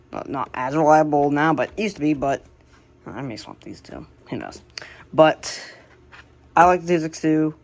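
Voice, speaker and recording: An American woman casually showing a hobby collection, speaking in a halting, unscripted, plain way on an amateur home recording. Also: low-pitched. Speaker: radio collector presenting the collection. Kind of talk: casual hobby show-and-tell narration.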